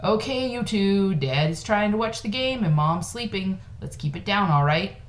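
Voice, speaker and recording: A woman's voice: mid-range voice, lively intonation, unhurried, pitched above the performer's own voice, natural voice. A working-class dad read by a woman in a storybook reading. He is firm yet placating, with a patient, cautioning way of talking to children. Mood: mildly annoyed.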